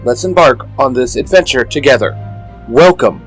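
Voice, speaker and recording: Male show host: medium pitch, scripted welcoming promo read, light music underneath. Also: moderate pace.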